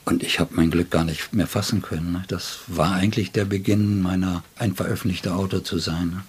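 An older man with a fairly low, husky voice reminisces as a writer being interviewed. He tells his anecdotes deliberately, unfolding them in long and detailed sentences. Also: fairly even intonation.

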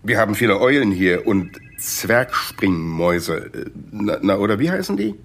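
A man's voice: on the low side, big pitch swings, moderate pace, fairly bright tone, slight background noise. An actor playing a genial, bumbling long-serving male boss in a radio play, hesitant and searching for words. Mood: genial, fumbling.

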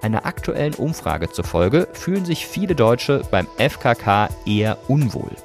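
A man's voice: medium pitch, big pitch swings, normal speed, creaky, soft background music. A man hosting a podcast, presenting scripted narration with some conversational phrasing. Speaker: podcast host.

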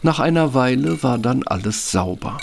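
Gravelly male fairy-tale narrator. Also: medium pitch, lively intonation, normal speed, a little hiss or hum.

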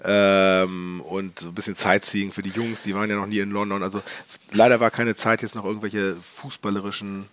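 A man co-hosting a casual football podcast, a little hoarse from a cold, rambling and hesitant with many fillers. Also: on the low side, level tone, fairly quick, hardly any pauses, fairly bright tone, a little hiss or hum. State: slightly under the weather, a bit hoarse. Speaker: podcast co-host.

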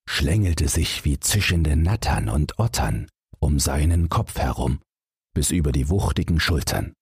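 A low-voiced man narrates a bedtime fairy tale. His voice is very raspy and he takes his time, telling the story in a measured, dramatic way. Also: a little mellow, dry recording, studio quality. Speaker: audiobook narrator.

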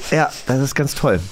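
A man hosting a podcast in casual, teasing, bantering chat. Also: on the high side. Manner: teasing, joking. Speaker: podcast host.

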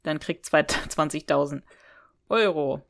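A woman's voice: deep voice, unhurried, vocal fry. A woman co-hosting a podcast, chatting casually and readily amused as she retells and discusses a story. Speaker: podcast co-host. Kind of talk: casual chat, retelling and discussing an audio drama episode.

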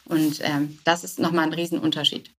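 A woman's voice: sits low, level tone, a little fast. A woman giving casual interview answers as a start-up founder, informal and hesitant, with English business words mixed in.

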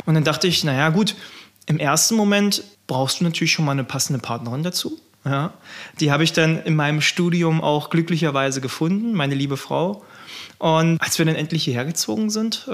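A man from eastern Germany in his thirties, telling his story in a relaxed, chatty interview with many fillers. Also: fairly high pitch. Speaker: manor house owner. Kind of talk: casual interview.

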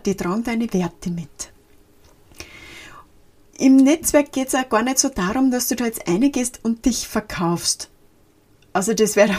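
Chatty Austrian woman, breathy voice. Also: fairly high pitch, darkish tone. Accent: Austrian German. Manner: chatty, switches between formal speech and dialect. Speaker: podcast host and business coach. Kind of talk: casual solo podcast talk.

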